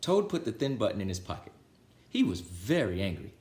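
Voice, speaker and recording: A man with a fairly low voice reads and chats as a children's storytime host. His intonation is very animated, and the room around him echoes. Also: brisk. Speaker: storytime reader. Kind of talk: children's storybook narration and casual chat.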